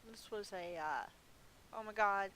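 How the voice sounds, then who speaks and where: A woman's voice: medium pitch, unhurried, sharp tone. A woman giving casual live gameplay commentary as a streamer, chatty and thinking aloud. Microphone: budget gaming mic. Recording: faint hiss from a cheap microphone.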